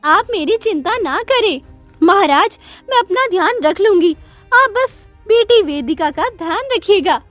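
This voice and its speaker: A woman's voice: very high voice, expressive, unhurried, fairly bright tone, no echo, faint music behind, pitched above the performer's own voice, heavily acted. A woman voicing an earnest young queen and devoted mother in a cartoon moral story, speaking with feeling. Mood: resolute.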